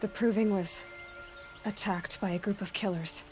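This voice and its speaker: A woman's voice: mid-range voice, steady tone, moderate pace, a little mellow, close to an everyday voice. A woman playing a determined, blunt young outlander huntress in a video game, speaking curtly and directly. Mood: insistent. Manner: curt, direct. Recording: music behind the voice.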